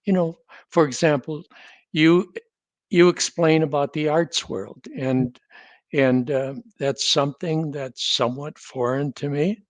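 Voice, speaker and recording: Measured older man in his seventies. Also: on the high side, steady tone, no echo. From North Dakota. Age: 70s. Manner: measured, dry humor, frequent restarts. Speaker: guest. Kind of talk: casual conversation, storytelling.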